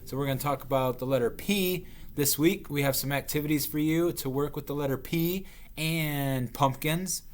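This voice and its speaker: A man reading a storybook aloud to young children as their teacher, expressively stretching words and pausing to explain. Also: a little high, slight background noise.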